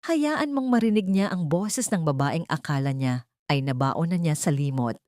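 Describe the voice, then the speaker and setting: A woman's voice: sits low, lively intonation, moderate pace, darkish tone, no echo, studio quality. A woman narrator voicing a protective, commanding older brother in an audiobook. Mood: firm.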